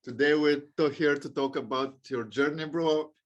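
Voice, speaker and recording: A man's voice: on the high side, fairly even intonation, moderate pace, lo-fi sound. A man hosting a podcast, chatting loosely with his guest and calling him bro. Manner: loose, chummy, says bro and like. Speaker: podcast host. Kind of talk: casual interview chat.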